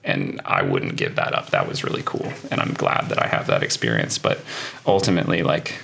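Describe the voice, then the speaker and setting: A man's voice: a bass voice, very animated, brisk, gravelly, a bit bright, slight background noise. A man talking as an interview guest, a language teacher musing thoughtfully and hedging as he reflects. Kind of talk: casual interview conversation.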